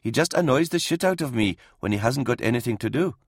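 A man's voice: medium pitch, expressive, quick pace, slightly rough, dry recording, studio quality, voice pushed up. Man voicing a snappy, irascible old priest. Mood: irritable. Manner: snappy, outspoken. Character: blunt, irascible, compassionate old army priest. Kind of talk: audiobook character voice in military science fiction.

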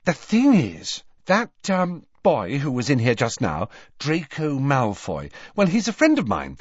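A falsely bright, chatty teenage girl from an audiobook, acted by a man. The voice is pitched high and swoops about eagerly, on a slightly dull, dry recording. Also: huge pitch swings, a little slow, breathy edge, no echo, exaggerated voice. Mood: flustered bluffing. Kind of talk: audiobook character voice.

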